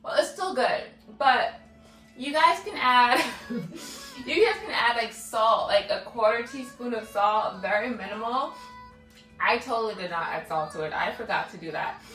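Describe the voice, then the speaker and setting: A woman's voice: a little high, a little slow, a bit bright. A woman with a Jamaican accent chatting casually as a cooking vlogger, filling her talk with "like" and "guys". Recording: echoey room, distant mic, music underneath.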